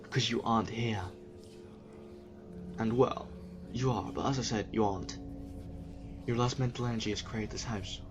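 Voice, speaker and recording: Male reader playing compassionate time traveller, low pitch, grave, apologetic, gentle explanations, audio drama. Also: steady tone, moderate pace, long pauses, background noise, close to an everyday voice. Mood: sorrowful, gentle. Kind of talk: fan audio drama reading.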